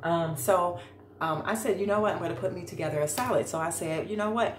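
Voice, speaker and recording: A woman's voice: on the low side, a little fast. A middle-aged American woman chatting informally to her viewers in a casual cook-along vlog, recorded in a home kitchen on a camera a short distance away. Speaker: vlog host. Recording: echoey room with background noise.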